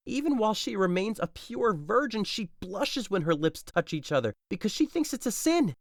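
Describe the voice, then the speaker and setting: A man's voice: sits high, dry recording, clean studio sound. A man playing a lovesick, despairing young man in a modern-language Shakespeare audio drama, melodramatic and anguished. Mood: wounded, bitter.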